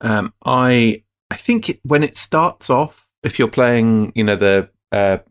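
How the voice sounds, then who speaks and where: A man's voice: medium pitch, animated, slow pace. A man co-hosting a game podcast, rambling through firm opinions in a casual chat. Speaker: podcast co-host.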